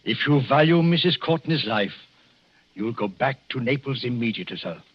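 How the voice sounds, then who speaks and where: An actor playing a grave, hesitant English doctor who gives ominous warnings in the formal, theatrical manner of 1940s radio drama. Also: male voice, medium pitch, expressive, moderate pace, a little hiss or hum, natural voice. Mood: hesitant, courteous. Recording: dull 1940s radio recording.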